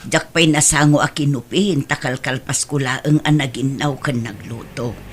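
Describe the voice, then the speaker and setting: A woman's voice: very deep voice, moderate pace, slight background noise. A woman acting a homely, caring, weary middle-aged mother in an audio drama. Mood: tired, gentle.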